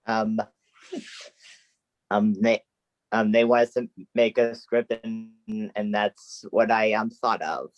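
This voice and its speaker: A man, a student playwright, speaking in a hesitant, halting way with brief answers. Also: fairly low pitch, steady tone, no room sound, highs cut off.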